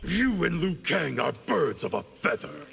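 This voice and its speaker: A man playing a cold, ambitious ninja traitor in a video game, haughty and clipped, with a very gravelly voice. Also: on the high side, big pitch swings, measured pace, fairly bright tone, full character voice. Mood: menacing. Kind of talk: dramatic video game acting. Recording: clear background noise.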